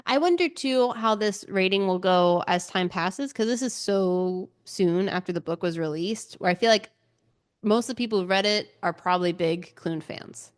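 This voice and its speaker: Woman, podcast co-host, casual book chat, mid-range pitch, dry recording. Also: moderate pace, smooth, dry recording.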